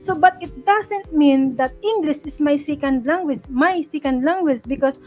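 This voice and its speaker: A woman's voice: high voice, normal speed, a little mellow, faint music behind. A Filipino woman with a Bisaya background hosting a live-stream Bible study. She chats earnestly with sudden exclamations and restarts her sentences often. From Philippines. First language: Bisaya (Cebuano).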